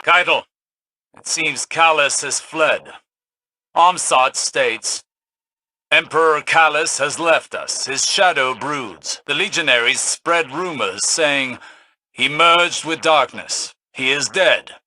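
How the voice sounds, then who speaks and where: Casting: grave scholarly older researcher, game dialogue, formal and precise, piercingly bright tone. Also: male voice, a little high, slow, no room sound, exaggerated voice, poor audio. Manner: formal, precise, clipped delivery. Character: grave scholarly older male researcher reading translated logs.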